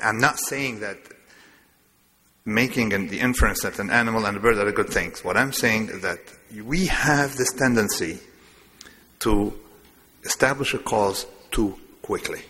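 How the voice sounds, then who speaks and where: A man lectures in a rambling, self-amused way. His voice sits fairly low but sounds sharp and forceful. A long reverb trails his words around a big lecture hall. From Lebanon. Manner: discursive, digressive, self-amused. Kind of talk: public lecture.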